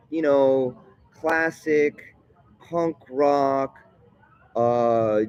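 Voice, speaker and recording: A man talking in a casual vlog monologue, rambling and digressive, often halting mid-thought. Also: medium pitch, dry recording, muffled recording. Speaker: vlogger.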